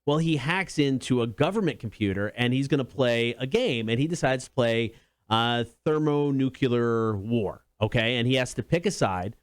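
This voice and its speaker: A man's voice: medium pitch, moderate pace, clear, resonant tone, no room sound. A man co-hosting a podcast, telling stories casually with slangy, emphatic enthusiasm. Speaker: podcast co-host. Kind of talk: casual chat and storytelling.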